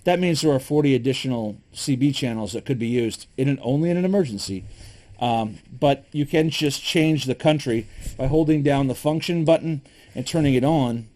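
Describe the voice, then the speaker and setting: A man's voice: mid-range voice, normal speed, dark tone. Plain-spoken man reviewing radio gear. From Michigan, USA. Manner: conversational, frequent ums and restarts, opinionated. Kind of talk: casual gear review to camera.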